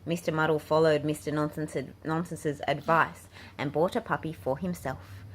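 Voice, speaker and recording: A woman's voice: low voice, fairly even intonation, normal speed. A woman reading a picture book aloud to children as a storybook narrator. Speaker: children's book reader and channel host. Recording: clearly noisy home recording.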